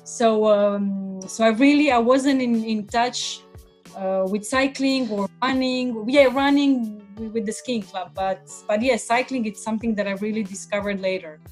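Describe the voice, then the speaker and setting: A woman's voice: fairly high pitch, measured pace, a little mellow, soft background music. A woman from Croatia, a guest on an interview podcast, talking casually with many fillers and restarts.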